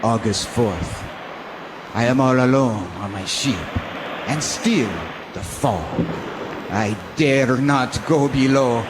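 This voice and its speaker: An actor playing a weary, dutiful sea captain narrating his log gravely in a radio drama. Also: medium pitch. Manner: grave, measured, halting delivery. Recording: noisy amateur local radio recording.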